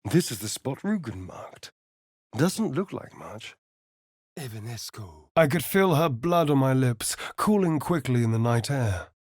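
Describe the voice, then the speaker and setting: Casting: a suave, sardonic male vampire rogue for a fantasy game. Arch and dramatic, very lively pitch, dry close sound. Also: a little slow, slightly rough, dry recording. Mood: incanting, intense. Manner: theatrical, arch, dramatic delivery. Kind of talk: fantasy video game voice acting.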